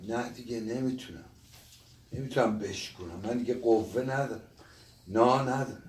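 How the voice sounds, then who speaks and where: A man's voice: mid-range voice, natural voice. An actor as a frail old father, echoey room. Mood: defeated, tearful. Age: older. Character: frail, forgetful old father with Alzheimer's, stubborn ex-gardener. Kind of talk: naturalistic dramatic acting. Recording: echoey, noisy room, distant mic.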